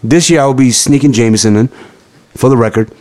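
A man hosting a podcast, talking crude and teasing, getting loud and excited. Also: fast talker. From New York. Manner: loud, profane, teasing, jumps in pitch when excited. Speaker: podcast host. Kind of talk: crude casual banter.

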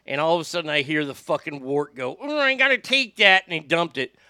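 A middle-aged man with a high voice that lacks bass, telling profane, animated stories as a radio host. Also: a little fast, clean tone, dry recording, clean studio sound. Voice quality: high voice without much bass. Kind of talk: casual storytelling podcast.

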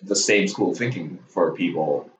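A man in his twenties from Hong Kong, a podcast guest talking haltingly with many restarts and jokes. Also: deep voice, a crowd in the background. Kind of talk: casual interview chat. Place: home room with a dog. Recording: roomy, distant, dull sound.

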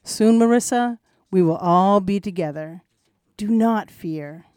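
Soft-toned grandmother spirit acted by a woman, mellow, dry sound, gentle, reassuring, solemn, radio play. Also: medium pitch, no echo, lightly acted. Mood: soothing, solemn. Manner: soothing, solemn, measured.

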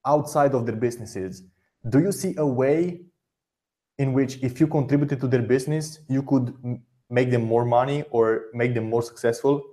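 A man hosting an interview, giving direct, encouraging advice in quick bursts, often repeating words as he talks. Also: medium pitch, mellow. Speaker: podcast host.

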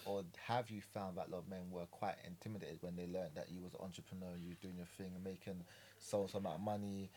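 A man hosting a podcast, asking relaxed, friendly questions with casual slang. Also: low voice, fast talker, few pauses, slight background noise. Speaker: podcast host.

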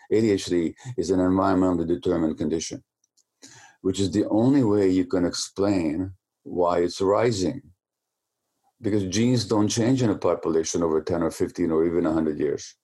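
A man with a very deep, mellow voice explains ideas at length in an interview. He talks like a lecturer, and when he restarts a thought he stumbles over the same word a few times. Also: fairly quick. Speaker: interview guest.

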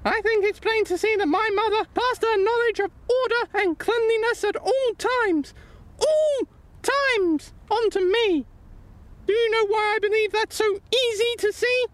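A woman playing a stern, fastidious child-custody inspector in a comedy audio drama, speaking in a prim, clipped, officious way. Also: very high-pitched, no echo. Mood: stern, proud.

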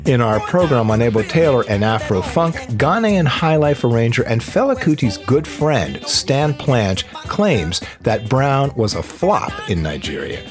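A man hosting and narrating a radio documentary in a smooth, scripted storytelling delivery. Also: mid-range voice, big pitch swings, a little slow, hardly any pauses. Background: music playing underneath.

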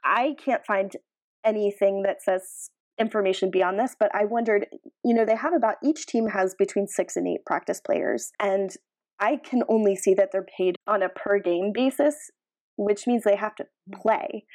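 A woman's voice: mid-range voice, moderate pace, a little mellow. A woman co-hosting a podcast, casually discussing sports and giving reasoned opinions. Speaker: podcast co-host.

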